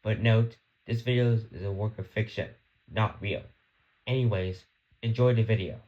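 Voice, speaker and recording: Deep-voiced man reading an emergency alert. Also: fairly even intonation, moderate pace, muffled recording. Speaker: alert announcer and narrator of a fictional emergency broadcast. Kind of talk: scripted emergency alert narration.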